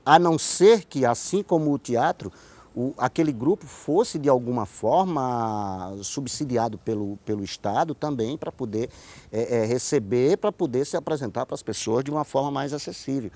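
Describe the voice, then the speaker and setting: A man's voice: mid-range voice, lively intonation, moderate pace, a little mellow. A man with a Brazilian Northeastern accent explaining his views emphatically in a conversational interview, often checking that he is understood. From Pombal, Paraíba, Brazil. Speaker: interview guest, playwright and theater director. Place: outdoor hotel terrace.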